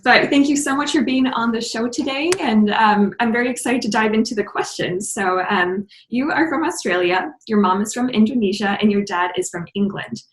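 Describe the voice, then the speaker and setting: A woman's voice: a little high, moderate pace, hardly any pauses. A woman hosting a podcast, reading a guest introduction and chatting in an upbeat way. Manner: upbeat, laughs often. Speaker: podcast host. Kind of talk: reading a guest introduction, then casual interview chat. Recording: roomy echo.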